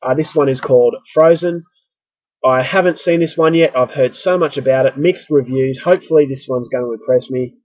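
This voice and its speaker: A man's voice: mid-range voice, fairly quick, dry recording. A man with an Australian accent talks to camera in a loose, joking vlog, his voice a little stuffy as if he is getting a cold. The sound is dry and slightly dull, like a modest home recording. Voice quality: chatty, self-mocking, rambling. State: stuffy with early flu. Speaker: vlogger. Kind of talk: casual vlog talking to camera.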